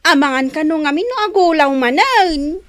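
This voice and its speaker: A woman's voice: high voice, expressive, normal speed, fairly bright tone, dry recording. A woman acting a gossipy, deferential household helper in an Ilocano radio comedy drama. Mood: chatty, eager.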